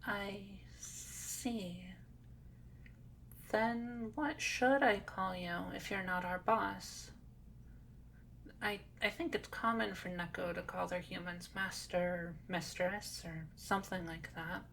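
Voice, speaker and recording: A woman acts out a shy young cat girl in an audio roleplay. She speaks slowly and softly, with creak in her voice, halting and apologetic as she picks polite words. Faint noise sits behind her. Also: lowish voice, fairly even intonation, exaggerated voice. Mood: shy, hesitant. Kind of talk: ASMR roleplay audio drama.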